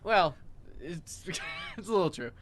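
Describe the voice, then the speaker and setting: A woman's voice: on the low side, wildly swooping pitch, a little slow. A woman hosting casual improvised comedy banter with wry, easygoing reactions. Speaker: comedy show host.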